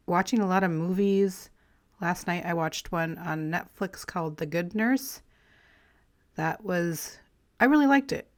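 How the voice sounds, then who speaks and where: Raspy-voiced woman chatting casually. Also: mid-range voice. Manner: relaxed, rambling, friendly, with light laughs. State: slightly raspy, clearing her throat after illness. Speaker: crafting vlogger. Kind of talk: casual chatty voice-over while collaging.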